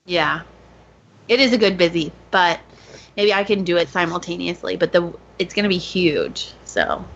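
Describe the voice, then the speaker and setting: Woman, low-pitched voice, casual podcast chat, dull lo-fi sound, faint background noise. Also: expressive, moderate pace, a bit bright. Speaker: woodworker podcast co-host.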